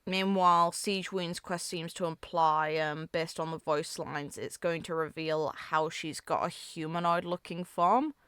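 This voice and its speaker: Clear, lowish-voiced woman giving casual reactions. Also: steady tone, unhurried, few pauses, fairly bright tone, no room sound, studio quality. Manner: musing, hedging, conversational. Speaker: video game reaction creator.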